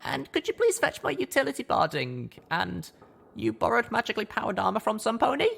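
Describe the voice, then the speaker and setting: An anxious, weary young pony mare acted by a man, pitched far above a man's usual talk. The delivery is hesitant and earnest, in the manner of a dramatized storybook reading. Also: big pitch swings, a little fast, vocal fry, fairly bright tone, exaggerated voice. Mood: queasy pleading.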